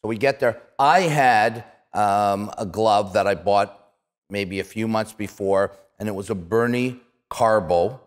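A Canadian man in his fifties hosting a casual interview, telling stories in an animated, emphatic way and repeating words for punch. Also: lowish voice, unhurried. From Toronto, Canada. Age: 50s. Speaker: interview show host, retired athlete. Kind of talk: casual conversational storytelling. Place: small office-like studio set, indoors. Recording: echoey room with long reverb.

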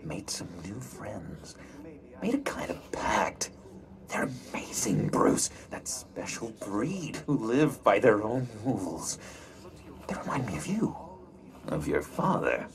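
Unhinged needy criminal, wildly swooping voice. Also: male voice, lowish voice, big pitch swings, full character voice. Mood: eager, pleading. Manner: halting, stammering, swinging from pleading to menacing. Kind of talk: video game voice acting.

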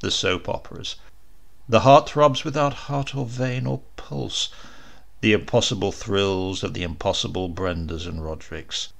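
A man narrating a horror short story dramatically, with deliberate, suspenseful, theatrical emphasis. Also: lowish voice, gravelly, fairly bright tone. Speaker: audiobook narrator.

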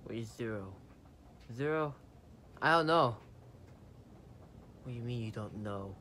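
A man's voice: mid-range voice, animated, sharp tone, slight background noise. A man voicing plush-toy characters in plain, everyday conversation. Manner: slow, plain conversational delivery. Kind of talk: amateur plush-toy drama dialogue.

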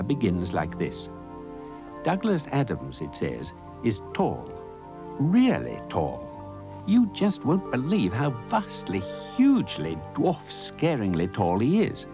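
A British man reading scripted comic documentary narration in a measured, mock-serious way. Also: sits low.

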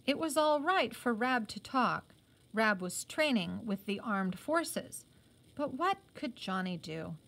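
Higher-voiced woman reading a novel aloud. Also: very animated, slow, gravelly, fairly bright tone. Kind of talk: novel read-aloud with explanatory asides.

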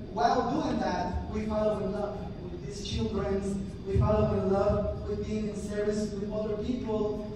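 Ecuadorian speaker echoing through a big hall. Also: medium pitch. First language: Spanish. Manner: earnest, frequent "yeah?" and "you know" check-ins. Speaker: conference speaker. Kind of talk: storytelling conference talk. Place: large hall with seated audience. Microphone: filmed from far back in the audience. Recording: echoey hall from far off with noise.

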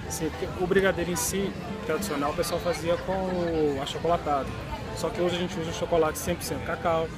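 Young Brazilian man, plain explanatory tone, busy park crowd and loud music behind. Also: on the high side, steady tone, a little fast. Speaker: guest, small business owner. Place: outdoors in a city park.

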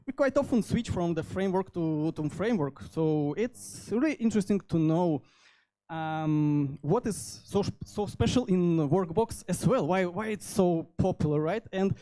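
A man in his thirties with a Russian accent giving an informal technical talk into a handheld microphone, halting often as he goes. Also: sits high, expressive, warm tone, rough recording. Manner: halting delivery with frequent hesitations. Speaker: conference speaker, software developer. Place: indoor meetup venue.

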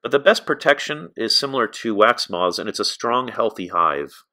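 A man's voice: medium pitch, lively intonation, moderate pace, bright, forceful tone. A middle-aged American man giving an informal, explanatory narrated lesson as an instructor, often adding asides. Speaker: beekeeping instructor.

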